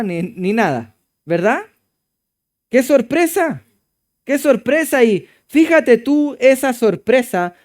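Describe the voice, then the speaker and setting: A Chilean pastor, a man, preaching in a high-pitched voice with a smooth, resonant clarity. He presses his points with emphatic exclamations, then drops to low, hushed passages. It is a church sermon delivered with conviction.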